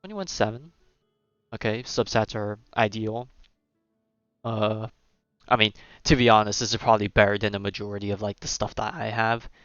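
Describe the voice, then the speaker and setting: A man's voice: on the low side, steady tone, moderate pace, a bit bright, dry recording, muffled recording, soft background music. A man giving casual livestream game commentary, rambling and thinking aloud in a hesitant way. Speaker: game streamer.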